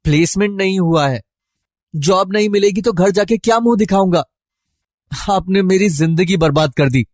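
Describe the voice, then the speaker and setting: Man acting a shy high-pitched college boy. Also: normal speed, a little mellow, no echo, clean studio sound, natural voice. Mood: upset. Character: shy, dutiful, anxious young male college student. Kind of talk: scripted story dialogue.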